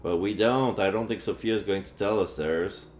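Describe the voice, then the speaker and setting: Man, game streamer, low voice, reading story text aloud, roomy echo. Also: normal speed. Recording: echoey room with faint game music. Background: faint game music.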